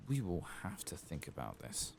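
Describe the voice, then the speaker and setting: Man, extremely low voice, gravelly fry, hushed ASMR gaming commentary, unhurried, faint noise behind. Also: huge pitch swings. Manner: hushed, murmured, occasionally whispering. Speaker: gaming channel host. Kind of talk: soft-spoken ASMR game playthrough commentary.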